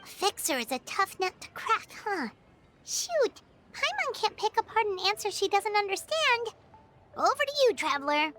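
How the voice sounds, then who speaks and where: Tiny bossy fairy girl game companion, super high childish squeak, very animated, music playing under it. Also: moderate pace, full character voice. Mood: flustered, excited. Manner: exclaiming, speaks of herself in third person. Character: small excitable chatty fairy girl companion, cheeky and bossy. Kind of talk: animated video game character acting. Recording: music behind the voice.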